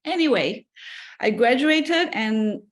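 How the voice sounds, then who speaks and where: A woman's voice: on the high side, animated, slow pace. A woman in her forties from Malaysia, speaking as a guest at a seminar and telling her life story in a conversational way, with many fillers and self-corrections. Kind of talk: personal talk to an audience.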